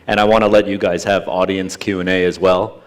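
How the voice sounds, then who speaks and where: A man interviewing a guest on stage, brief and relaxed with short prompts. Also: sits low, fairly quick.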